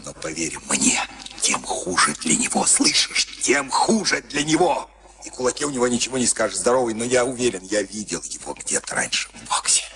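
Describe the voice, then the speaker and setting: An actor playing a huge, rough boxing trainer in a Soviet radio play, urgent and coaxing, repeating short commands. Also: male voice, mid-range voice, sing-song, normal speed, close to an everyday voice. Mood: conspiratorial, egging on.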